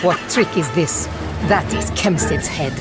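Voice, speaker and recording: Actor doing serious steady video game companion, middle pitch, loud score underneath. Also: a little slow, over loud music, close to an everyday voice. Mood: concerned. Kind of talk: video game cutscene acting.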